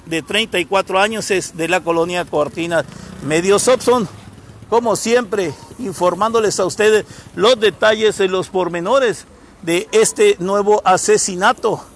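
A high, bright male voice from reports live from a street crime scene. He speaks in an indignant, repetitive way, hammering on the authorities. The phone recording carries faint traffic noise from the street. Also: measured pace. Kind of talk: live on-scene news commentary. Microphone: phone camera held by the reporter.

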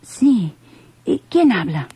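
An actress with a Mexican accent playing a frightened, hesitant underling of a crime boss in a radio drama. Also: female voice, medium pitch, sing-song, slow, mellow, slightly rough, exaggerated voice. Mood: nervous. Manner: hesitant, timid, pleading.

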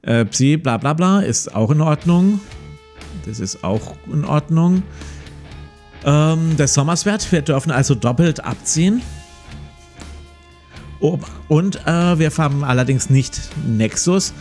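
A man's voice: a little high, lively intonation, slow, long pauses, darkish tone. A man in his forties hosting a let's play, reading a gamebook aloud and commenting casually. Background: plucked guitar music.

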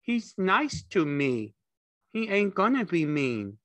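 A man's voice: high voice, unhurried, fairly bright tone, dry recording, pitched above the performer's own voice. A man voicing a big, childlike, simple man in an audiobook, naive and pleading, with ungrammatical speech. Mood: defiant, upset. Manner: naive, uneducated rural speech with bad grammar.

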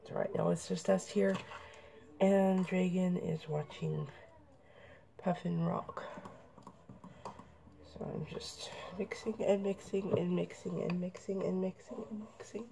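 A woman's voice: on the low side, steady tone, takes it slowly, creaky, pauses often, warm tone, slight background noise. A woman in her thirties, losing her voice from a cold, chatting casually to the camera while she cooks in a small home kitchen. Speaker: vlogger. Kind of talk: casual home cooking vlog. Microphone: camera on the counter.

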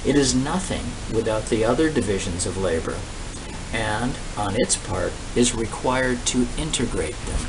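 A man in his sixties reads a speech aloud outdoors, with deliberate, emphatic phrasing, pauses and repeated words. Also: on the low side. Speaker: reader of a political economy text. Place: outdoors on a brick-paved patio. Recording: distant mic, steady outdoor background noise.

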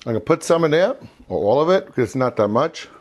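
Fast-talking middle-aged man giving cooking instructions. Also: on the high side, very animated, a little mellow, muffled recording. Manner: chatty, repeats and rephrases himself. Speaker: cooking show host. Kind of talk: informal cooking demonstration. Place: home kitchen, indoors. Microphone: lapel mic.

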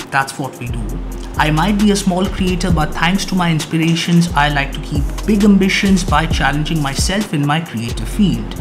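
A young man on a video channel, talking to camera from his small home studio in an earnest, dramatic, flowery way. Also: on the high side. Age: young adult. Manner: dramatic, earnest, flowery wording, lively hand gestures. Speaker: YouTube creator. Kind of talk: earnest scripted monologue to camera. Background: background music.

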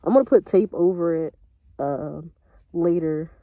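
A woman's voice: on the low side, a little slow, no echo, narrow, tinny audio. A young adult American woman chatting casually to camera, thinking aloud in an informal way on a handheld phone in and around a car. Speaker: vlogger. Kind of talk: casual DIY chat to camera. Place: in and beside a parked car.

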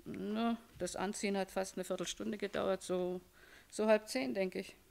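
A woman's voice: medium pitch, fairly even intonation, normal speed, creaky, darkish tone, slight background noise, lightly acted. An actress playing a jaded, world-weary woman shop owner with a shady past, dismissive and brusque, in a German radio crime drama. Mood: chatty, brusque.

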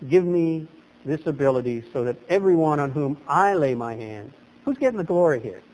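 A middle-aged man preaching a sermon as pastor at a lectern in a small chapel, animated and gesturing, his voice leaping up for emphasis. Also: fairly high pitch, moderate pace, rough recording.

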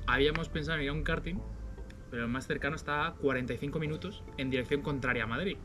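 Male vlogger, easygoing and jokey, talks over loud background music. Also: on the high side, quick pace. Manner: joking, laughing, swears casually. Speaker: vlog host. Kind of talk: casual road trip vlog chat. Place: in a car and out in a small town.

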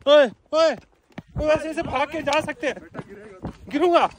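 A young man filming himself at arm's length on a mountain trail, joking excitably and often out of breath. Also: extremely high pitch, a little slow, slight background noise. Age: young adult. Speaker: travel vlogger. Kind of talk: casual travel vlog. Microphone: handheld phone camera at arm's length.

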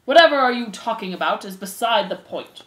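An old, pompous male mouse in a storybook presides like a judge , acted out by a woman. The delivery is slow, commanding and formal, with strong swings of pitch in a roomy recording. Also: expressive, a bit bright, close to an everyday voice. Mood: stern, solemn.

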